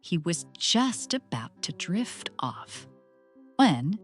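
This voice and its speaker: Woman storyteller, children's read-aloud, lively intonation, measured pace, faint background music. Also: lowish voice. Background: soft music.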